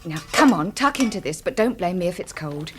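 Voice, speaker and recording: An actress with an English accent playing a supportive but headstrong working-class wife and daughter in a radio drama, slightly husky. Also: female voice, fairly low pitch, lively intonation, brisk, natural voice. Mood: coaxing, soothing. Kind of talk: BBC radio drama acting.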